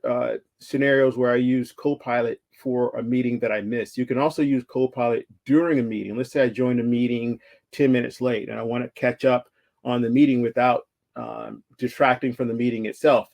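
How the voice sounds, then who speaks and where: A man's voice: mid-range voice, steady tone, fairly quick, vocal fry, darkish tone. A man giving a conversational virtual conference talk over slides, with frequent fillers and restarts. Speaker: conference speaker.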